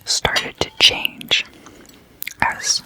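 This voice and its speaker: A person speaking in a breathy, unvoiced whisper, hushed and conversational, like an ASMR host chatting at a sleepover. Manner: slow, hushed, casual and conversational. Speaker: host of an ASMR roleplay series.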